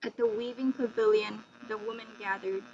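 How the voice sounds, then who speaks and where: A woman's voice: a little high, fairly even intonation, normal speed, darkish tone. A woman telling a scripted folktale as narrator with a dramatic storytelling delivery. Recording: muffled lo-fi, faint hum.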